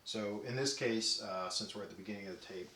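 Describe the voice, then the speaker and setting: A man casually demonstrating a tape deck, talking in a relaxed way with many ums and restarts. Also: fairly low pitch, fairly quick, a little hiss or hum. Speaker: audio equipment reviewer. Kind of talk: casual product demo. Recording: roomy echo with faint music.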